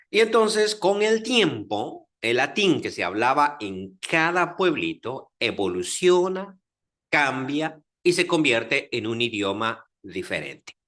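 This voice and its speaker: Man, guatemalan accent, teaching a class, lively swooping pitch, lots of fillers. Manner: animated, with many fillers and rhetorical check-ins. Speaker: Bible teacher lecturing. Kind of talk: lecture to a class. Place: Dominican Republic, class audience.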